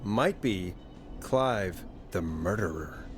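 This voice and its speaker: A man's voice: low voice, animated, slow, faint music behind. An actor playing a wry, uneasy male radio DJ working a night shift in a video game.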